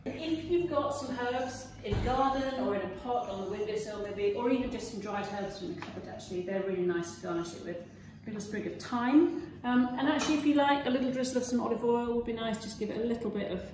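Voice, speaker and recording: A woman's voice: a little high, fast, darkish tone. Female cookery demonstrator, British, middle-aged, practical and chatty; very reverberant kitchen, recorded at a distance. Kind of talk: informal cooking demonstration, explaining steps. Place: medium-sized domestic-style kitchen, indoors. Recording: echoey kitchen, distant camera mic, background noise.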